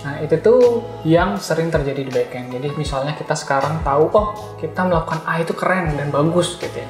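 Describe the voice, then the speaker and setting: A man's voice: a little high, fast. A man giving casual, explanatory answers as an interview guest. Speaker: guest, backend developer. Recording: roomy echo, faint music behind.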